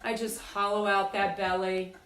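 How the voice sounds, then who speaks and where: A woman leading a guided meditation with slow, deliberate, soothing phrasing and pauses. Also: medium pitch, little pitch movement, fairly bright tone. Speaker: group exercise coordinator leading guided meditation. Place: indoors on a rug by firelight. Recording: roomy, distant mic, music behind.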